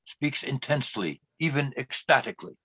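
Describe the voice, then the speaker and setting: A man's voice: medium pitch, lively intonation, normal speed, bright, forceful tone, breathy edge, no echo. A man reading scripted stage narration for a radio play. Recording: dull, dry lo-fi recording.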